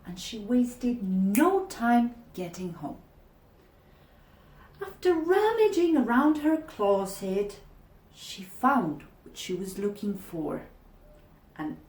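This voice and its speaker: A woman narrates a children's storybook in a roomy, echoing space. Her delivery is slow and deliberate, with animated, expressive pitch swings. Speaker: storybook reader. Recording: echoey room.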